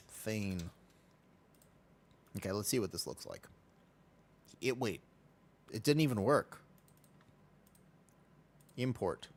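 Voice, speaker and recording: An American man streaming a game, chatting casually and rambling as he answers chat and laughs easily. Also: lowish voice. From United States.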